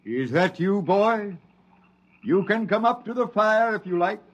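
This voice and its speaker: Slow, oracular old desert preacher. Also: male voice, high-pitched, lively intonation, highs cut off. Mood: gentle, prophetic. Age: older. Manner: slow, oracular, biblical pronouncements. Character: gentle, fervent old wandering preacher, wild-haired eccentric. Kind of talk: mid-century American radio drama acting.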